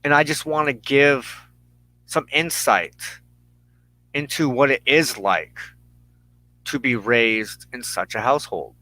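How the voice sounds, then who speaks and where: An American man giving an earnest personal monologue as a broadcast host, speaking in deliberate, halting phrases with emphatic pauses. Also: medium pitch, bright, forceful tone, dry recording, poor audio. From United States. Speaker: host of a weekly religious broadcast.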